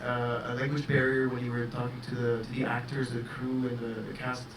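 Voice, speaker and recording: A man interviewing a director, enthusiastic, asking halting, restarting questions. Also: medium pitch, little pitch movement. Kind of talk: festival interview. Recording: roomy, distant mic with noise.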